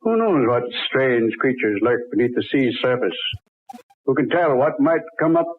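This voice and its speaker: An actor playing an excitable, superstitious middle-aged father, a film director, in theatrical old-time radio style with ominous, blustering delivery. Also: medium pitch, normal speed, slight background noise, lightly acted. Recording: muffled, tinny old radio recording.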